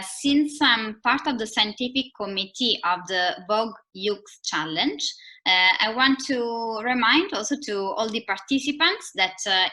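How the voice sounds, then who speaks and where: Woman hosting a webinar panel, bright sharp voice, lots of uhs. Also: on the high side. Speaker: webinar moderator. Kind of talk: moderating a panel. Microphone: video call.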